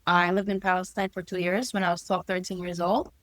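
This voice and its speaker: A woman's voice: fairly low pitch, level tone, fairly quick, dry recording. Earnest young woman from New Jersey. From New Jersey, USA. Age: 20s. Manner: earnest, lists achievements steadily. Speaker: podcast guest. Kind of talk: casual interview talk.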